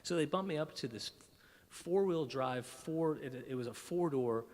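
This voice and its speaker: Animated male keynote storyteller. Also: fairly high pitch, a little slow, in a large hall. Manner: animated, self-deprecating, frequent restarts. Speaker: keynote speaker. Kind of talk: humorous storytelling speech. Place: conference breakfast room.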